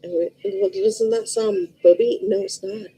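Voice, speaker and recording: A woman's voice: mid-range voice, huge pitch swings, unhurried, creaky, warm tone, husky, low-quality recording. A middle-aged woman with a Liverpool accent, chatting casually and bluntly to her viewers in a rambling way. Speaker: vlogger. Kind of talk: casual chat to camera.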